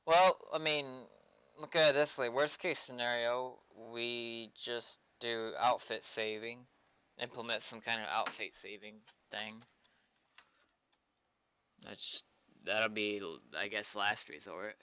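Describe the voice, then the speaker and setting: A man's voice: medium pitch, fairly even intonation, slow pace, pushed and bright, clear, resonant tone, dry recording. A man thinking aloud casually in short fragments as he works through programming on a development stream. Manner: muttering to himself in short fragments. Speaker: game developer streaming coding work.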